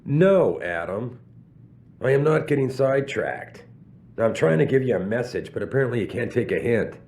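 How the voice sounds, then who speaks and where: An actor playing a proud, evasive middle-aged company boss in a video game, speaking emphatically with drawn-out stresses. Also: male voice, medium pitch, animated, a little mellow, close to an everyday voice. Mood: stern, irritated. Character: commanding, evasive, proud corporate boss man. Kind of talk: video game cutscene acting.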